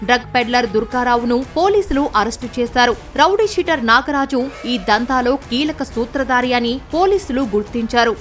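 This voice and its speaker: A woman's voice: fairly high pitch, a little fast, hardly any pauses, recorded from a distance, faint music behind. A woman reads scripted TV news narration as a reporter.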